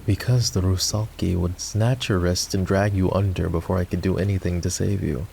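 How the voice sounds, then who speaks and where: A man voices a gruff fantasy knight in an ASMR roleplay. His voice is very low and heavily creaky, with a sardonic, commanding edge as he needles the royal in his charge. There is a faint noise in the background. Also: fairly even intonation, normal speed, darkish tone. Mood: wary, instructing.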